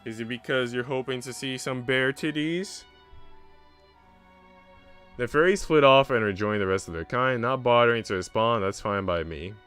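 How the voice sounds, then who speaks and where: A man's voice: mid-range voice, normal speed, fairly bright tone, clean tone, faint music behind. A man streaming a visual novel, reading its narration aloud and adding casual joking asides.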